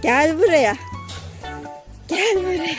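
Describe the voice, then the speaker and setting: A woman's voice: extremely high pitch, lively intonation, slow pace, music in the background. A woman hosting a children's game, calling out to the players in a playful, teasing way. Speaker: children's game leader and host.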